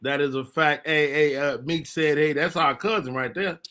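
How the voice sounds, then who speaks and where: Male live-show host, easygoing and chatty, high bright tone. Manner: laid-back, laughing, quick interjections. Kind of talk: casual live show chat.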